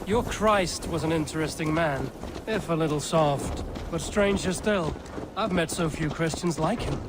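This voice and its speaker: A man's voice: a little high, unhurried, natural voice. A man playing a bold, wry Viking warrior in a video game, assured and terse. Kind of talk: video game cutscene dialogue. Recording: clear outdoor ambient noise behind.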